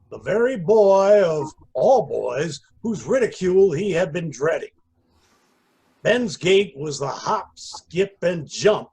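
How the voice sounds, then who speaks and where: A man reading literary narration as a storyteller, with a deliberate, theatrical delivery. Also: sits high, darkish tone. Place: indoors on a video call.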